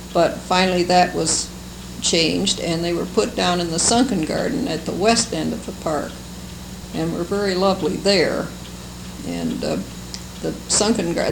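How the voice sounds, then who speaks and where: An older American woman recalling her life for an oral history, speaking in a halting, unhurried, fond way. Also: lowish voice, vocal fry. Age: older, seventies or above. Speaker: interviewee, longtime local resident. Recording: noisy amateur oral history recording.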